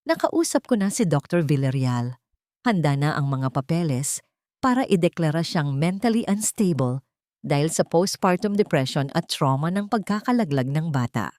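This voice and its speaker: A woman's voice: low voice, very animated, normal speed, a little mellow, dry recording, studio quality. A woman voicing a callous, calculating older rich mother in an audio drama. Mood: cold scheming.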